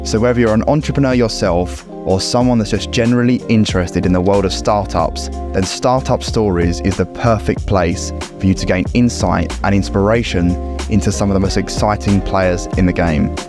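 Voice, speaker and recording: A man's voice: fairly low pitch, normal speed, music in the background. A man hosting a podcast, reading a scripted intro and then asking encouraging interview questions. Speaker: podcast host.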